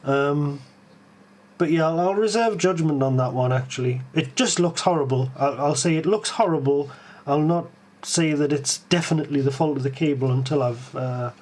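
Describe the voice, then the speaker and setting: A man's voice: fairly high pitch, moderate pace, darkish tone. A man with a Geordie accent hosting a live tech stream, musing aloud with lots of ums and restarts as he answers viewers. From Tyneside, England.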